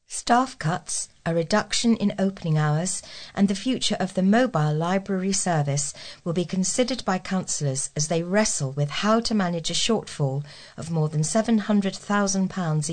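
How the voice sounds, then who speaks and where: A woman's voice: on the low side, normal speed, a little mellow. A woman reading local news aloud as a volunteer newsreader, formally and with care.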